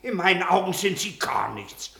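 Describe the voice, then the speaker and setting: An actor in a German radio play, playing a cantankerous, indignant old villager who talks in a loud, querulous, stubborn way. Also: male voice, high voice, very animated, normal speed, creaky, roomy sound, heavily acted. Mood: scornful. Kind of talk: classic German radio drama acting.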